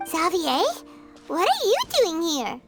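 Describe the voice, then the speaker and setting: A woman voicing a small, chirpy fairy companion girl in a video game, with a squeaky childlike voice. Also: very animated, takes it slowly, loud music behind the voice, heavily acted. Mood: curious. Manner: refers to herself in third person. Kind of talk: video game character acting.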